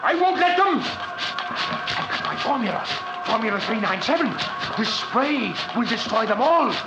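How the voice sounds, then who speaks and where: An actor playing a frantic, paranoid professor obsessed with insects, pleading and raving in an old radio drama. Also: male voice, extremely high pitch, sing-song, a little slow, loud music behind the voice, full character voice, poor audio. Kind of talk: 1940s radio acting. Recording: hissy old radio recording.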